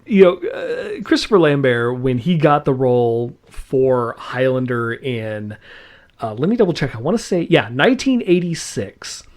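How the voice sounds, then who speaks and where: A man's voice: mid-range voice, big pitch swings, moderate pace, darkish tone. A man hosting a podcast in casual chat, enthusiastic and rambling, laughing often. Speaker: podcast host.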